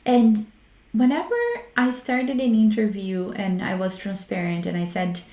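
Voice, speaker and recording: A woman's voice: mid-range voice, little pitch movement, unhurried, darkish tone. A woman from Brazil with a Brazilian Portuguese accent hosting a solo talk on research methods, frank and reflective, often stopping to restart her words. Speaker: host. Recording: roomy echo.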